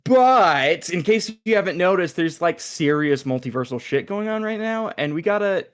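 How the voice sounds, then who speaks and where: A man's voice: high voice, expressive, moderate pace. A man playing a smug, chatty, scheming agent in a sci-fi comedy table read, with a glib, dramatic manner. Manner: glib, dramatic, stammers when cornered.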